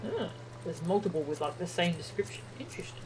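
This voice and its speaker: Female game streamer, lower-register voice, playful and relaxed casual commentary. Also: fast, low-quality recording. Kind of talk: casual livestream gameplay chat, reading game text aloud. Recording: game music behind the voice.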